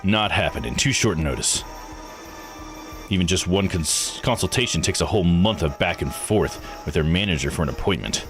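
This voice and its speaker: A man voicing a gruff, folksy old island boss in a visual novel, blunt and dismissive. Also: low-pitched, animated, normal speed, music in the background. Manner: blunt, slangy, domineering. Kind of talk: visual novel read-aloud voice acting.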